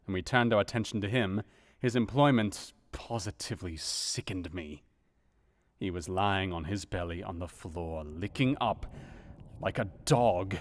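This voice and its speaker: A man's voice: fairly low pitch, close to an everyday voice. An actor voicing an asylum doctor who narrates his diary in an earnest, dramatic way, in a radio play.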